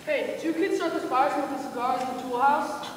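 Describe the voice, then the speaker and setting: An earnest, lecturing official acted by a woman addresses people in a high voice. The stage reading is very echoey and distant. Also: brisk, lightly acted. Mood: stern lecturing. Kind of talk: readers theater stage acting. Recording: noisy echoey hall, far from mic.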